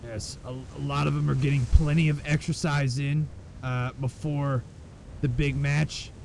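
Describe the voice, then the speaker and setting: A man's voice: mid-range voice, measured pace, vocal fry. A man commentating a live esports match, playing along dryly with his co-caster's jokes. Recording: steady game noise behind the voice.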